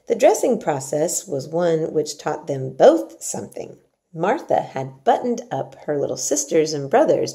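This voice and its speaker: A woman's voice: lowish voice, gravelly, warm tone. A woman reading a children's storybook aloud as narrator. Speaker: story reader.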